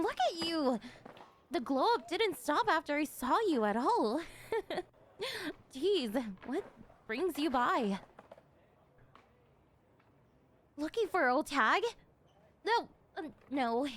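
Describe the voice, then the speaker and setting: A high-pitched young woman skater, acted by a woman speaks in casual slang to an old friend. She is emotive and halting, affectionate with an apologetic streak. It is an ASMR roleplay recording with a faint layer of background noise. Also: animated. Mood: excited surprise, then flustered, playful. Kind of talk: ASMR roleplay acting, casual one-sided dialogue.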